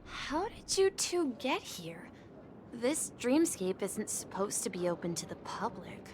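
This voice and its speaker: A woman's voice: mid-range voice, big pitch swings, a little slow, noisy background. A woman voicing a polite, eager, innocent young boy bellboy in a video game, earnest and slightly hesitant. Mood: friendly, earnest.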